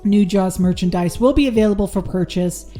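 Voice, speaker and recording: A woman's voice: mid-range voice, a little fast, dark tone, faint music behind. A woman hosting a horror movie podcast, chatting casually with many ums, her voice a little worn. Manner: chatty, lots of ums and restarts. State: losing her voice. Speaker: podcast host. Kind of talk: casual solo review and news.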